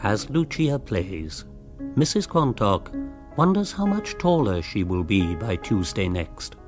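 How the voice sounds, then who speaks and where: A man with an English Received Pronunciation accent giving wry, stately scripted narration for a radio comedy. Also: on the low side. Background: piano music.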